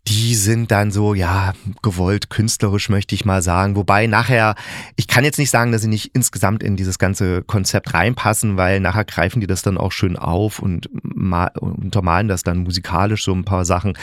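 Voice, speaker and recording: A man's voice: low voice, steady tone, normal speed, no echo. Middle-aged man, casual podcast review, dry sound. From East Germany. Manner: colloquial, blunt, thinks aloud with self-corrections. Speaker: podcast host, audio drama reviewer. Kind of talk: casual opinionated review monologue.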